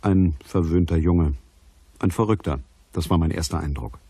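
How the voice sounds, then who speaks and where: A man's voice: deep voice, normal speed, dark tone, husky, no room sound. An actor playing a sceptical detective who narrates his case in a dry, composed way for a crime radio drama. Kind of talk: scripted first-person crime narration.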